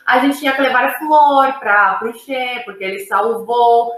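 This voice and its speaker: A woman's voice: a little high, unhurried, a bit bright, reverberant, low-quality recording. A woman from Cuba, speaking Portuguese as an interview guest in an emphatic, casual way, often adding "né" and "sabe". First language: Spanish. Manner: emphatic, frequent "né" and "sabe" tags.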